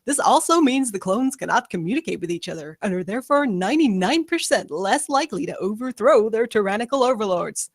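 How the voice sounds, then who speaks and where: A woman's voice: mid-range voice, animated, brisk, few pauses, no room sound, studio quality. A woman playing a falsely cheerful, callous presenter of a 1950s instructional film in a comedy table read. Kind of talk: mock 1950s infomercial acting at a table read.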